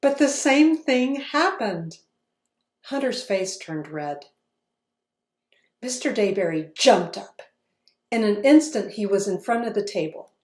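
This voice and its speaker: Woman author, children's read-aloud, unhurried, roomy sound. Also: mid-range voice. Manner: slow, expressive storytelling. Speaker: author reading her book aloud. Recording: roomy echo.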